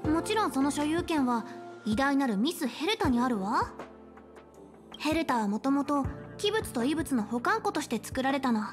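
A high-pitched young female space station chief for a video game. She is polite, self-assured and caring, explaining things in measured, articulate phrases. Faint music plays behind her. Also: sing-song. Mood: composed, explanatory. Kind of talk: Japanese game voice acting, explanatory dialogue. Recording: game dialogue over background music.

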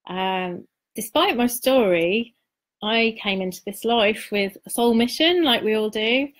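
A middle-aged woman giving an informal, personal live-stream talk as a coach, speaking in a reflective, confiding way with halting pauses and repeated words. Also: on the high side.

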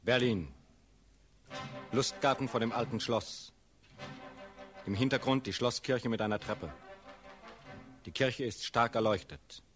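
A man narrates stage directions in a scripted, formal reading. His voice is of medium pitch, and instrumental music plays noticeably behind it. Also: measured pace, long pauses, a bit bright.

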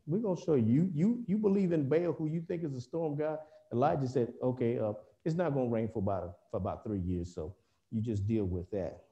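A man preaching a Bible lesson over a video call, halting often with fillers and restarts. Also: medium pitch, dark tone, lo-fi sound.

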